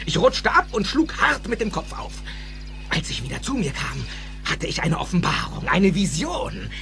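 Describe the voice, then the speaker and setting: Excitable old scientist, high voice swooping all over, theatrical radio play, music behind. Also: male voice, creaky, heavily acted. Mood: instructive, reminiscing. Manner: theatrical, emphatic, exclamatory, precise technical phrasing. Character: excitable eccentric older male scientist and inventor. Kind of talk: German audio drama dialogue.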